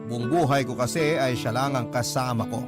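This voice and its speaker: A man's voice: medium pitch, normal speed. A man reading a listener's heartfelt letter aloud in radio-drama style, earnest and solemn. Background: guitar music.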